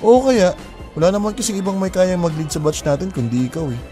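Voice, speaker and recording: Gossipy joker college bestie, bouncy highish voice, slangy, radio drama. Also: male voice, quick pace, music in the background. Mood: eager, gossipy. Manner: animated, slangy, teasing. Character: excitable, gossipy, joking male college best friend. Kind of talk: Filipino radio drama acting.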